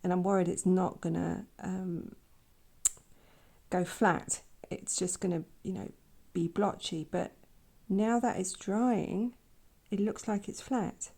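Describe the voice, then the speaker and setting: Croaky, soft-spoken woman painting. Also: on the low side, steady tone, slow. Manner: quiet, half-whispered musing while painting. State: croaky voice from a sore throat. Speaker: art channel host.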